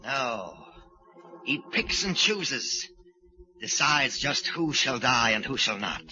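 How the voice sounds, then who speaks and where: Radio play villain: deranged artist-murderer, theatrical and brooding, wide-swinging delivery, muffled vintage recording. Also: male voice, mid-range voice, animated, slow pace, pushed and bright, slight background noise, full character voice. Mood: feverish, grandiose. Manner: theatrical, brooding soliloquies, feigned politeness to others. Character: deranged male sculptor murderer obsessed with playing Death. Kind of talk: 1940s radio acting.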